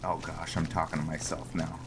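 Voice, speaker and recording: A man's voice: a bass voice, completely flat delivery, brisk. A man giving casual game commentary as a host, rambling and thinking aloud over his play. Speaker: host of a gaming roleplay series. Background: background music.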